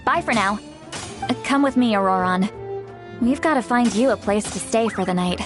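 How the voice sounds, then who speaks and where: A woman's voice: mid-range voice, normal speed, close to an everyday voice. An actress voicing a confident, easygoing young woman who talks in a breezy, casual way. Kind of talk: game voice acting. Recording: recorded game audio with music behind. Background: game music.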